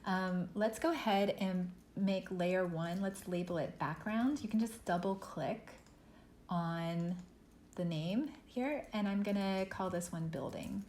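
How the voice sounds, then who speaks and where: A woman instructor leading an art workshop, walking students through software step by step in a relaxed, thinking-aloud way. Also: on the low side, slow. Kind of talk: software tutorial lesson. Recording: echoey room with faint noise.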